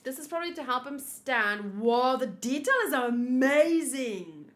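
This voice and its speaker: A woman's voice: sits high, animated, measured pace. A woman streamer chatting casually and giggling as she unboxes and assembles a figure, answering her chat.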